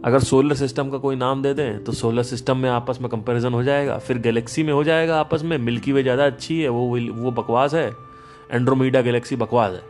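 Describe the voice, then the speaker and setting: A man of middle pitch talks through a podcast on spiritual ideas, emphatic and animated as he tells stories. Faint guitar music sits under his voice. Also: brisk. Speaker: podcast host. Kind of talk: casual spiritual talk.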